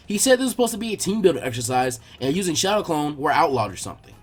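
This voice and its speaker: A man voicing a loud, impatient, hyperactive boy ninja who complains indignantly. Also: on the high side, sing-song, rapid-fire, slightly rough.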